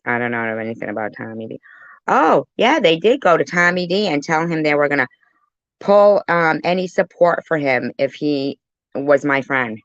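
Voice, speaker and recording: A woman's voice: low voice, animated, moderate pace, no echo. A blunt, combative woman doing reaction commentary, talking back and swearing freely, in a dull, lo-fi recording. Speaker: commentary channel creator.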